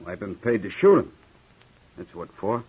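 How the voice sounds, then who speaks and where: A man's voice: fairly low pitch, very animated, moderate pace, husky. An actor playing a reckless hired gunman in a 1950s radio drama, drawling and threatening. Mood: menacing. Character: menacing hired gunman, grown man, reckless. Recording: dull old radio recording.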